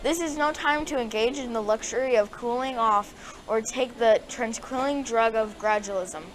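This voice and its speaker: A woman's voice: a little high, normal speed, poor audio. A female student reading a formal speech aloud. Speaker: student reader.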